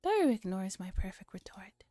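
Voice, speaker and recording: A woman acts out a delusional young man narrating a visual novel. The delivery is pompous and dramatic, in a soft, dark-edged tone with no room sound. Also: medium pitch, big pitch swings, normal speed, no room sound, soft background music, pitched below the performer's own voice. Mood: dry, narrating.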